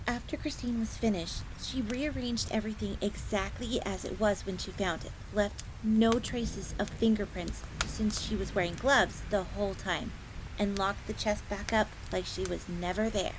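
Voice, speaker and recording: A woman's voice: mid-range voice, steady tone, moderate pace, natural voice. A woman playing a chatty, deferential fox maid who talks about herself in the third person in a fantasy audio roleplay. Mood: chatty, explanatory. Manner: refers to self in third person, informal, explanatory. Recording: clear background noise, crackling fire ambience.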